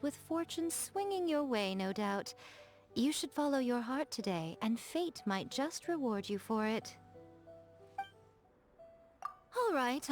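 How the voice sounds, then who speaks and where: A woman playing a sly, elegant fox spirit shrine maiden in a video game, coy and self-assured, in measured teasing phrases. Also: a little high. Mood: coy, gentle. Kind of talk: English video game character voice acting. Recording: music behind the voice. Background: background music.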